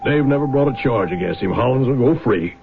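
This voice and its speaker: An actor playing an older small-town lawman, gravelly and probing, dogged but concerned, in 1970s radio drama style. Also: medium pitch, a little fast, slight background noise, close to an everyday voice. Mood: worried, grim. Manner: expressive, probing questioning. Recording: muffled lo-fi vintage radio broadcast.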